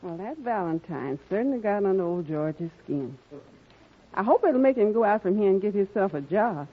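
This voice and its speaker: A sly wife in an old radio comedy. Her husky voice swings widely in pitch. The recording is muffled and vintage. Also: expressive, moderate pace. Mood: sly amusement. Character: sly, nagging wife with a sweet front. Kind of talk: 1940s radio sitcom acting.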